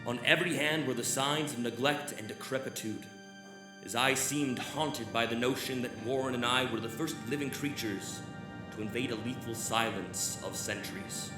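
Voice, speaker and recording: Haunted male scholar for live radio horror played by a man: grave, formal, emphatic, middle-pitched, in an echoing theater. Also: moderate pace, lightly acted. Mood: haunted, fearful. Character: anguished, haunted male occult scholar giving a statement. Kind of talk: theatrical old-time radio drama narration. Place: theater stage, live performance. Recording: roomy live stage, music underneath. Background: clear music.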